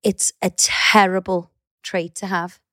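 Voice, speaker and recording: A middle-aged woman with a Scouse accent, co-hosting a podcast in animated casual chat, swearing freely as she tells stories. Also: lowish voice, slow, dry recording, clean studio sound. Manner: chatty, animated storyteller who swears casually. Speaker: podcast co-host.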